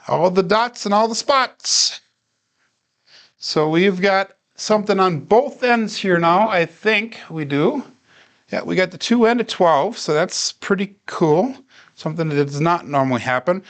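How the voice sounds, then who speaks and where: A man talks viewers through a dice practice session in an unhurried, steady way. His voice sits fairly high for a man and has a clean, smooth tone. He sounds relaxed and easy to listen to in the background. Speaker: craps practice live streamer. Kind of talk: casual play-by-play livestream narration.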